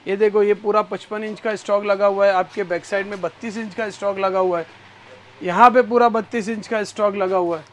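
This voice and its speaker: A man's voice: fairly even intonation, a little fast, a little hiss or hum. A man in his thirties from India, walking through a cluttered electronics warehouse on a lapel mic, eagerly reeling off prices and calling the viewer brother. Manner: pitches prices eagerly, calls everyone bhai. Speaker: warehouse seller showing stock. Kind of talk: energetic sales walkthrough.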